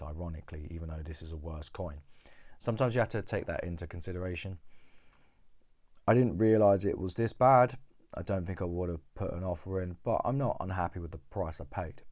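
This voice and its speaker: A man's voice: deep voice, a little mellow, dry recording. A coin dealer with a cold, chatting casually to camera about coins he bought, rambling and repeating words. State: has a cold.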